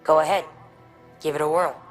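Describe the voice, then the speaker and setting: An actress as a low-voiced confident engineer. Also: female voice, fairly even intonation, a little fast, soft background music, close to an everyday voice. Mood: assured, intrigued. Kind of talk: video game cutscene dialogue.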